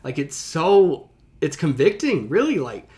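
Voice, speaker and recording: A man's voice: on the high side, very animated, a little mellow, slight background noise. Emphatic man giving casual reaction commentary. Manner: emphatic, conversational, pauses to stress key words. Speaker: teacher reacting to a reading.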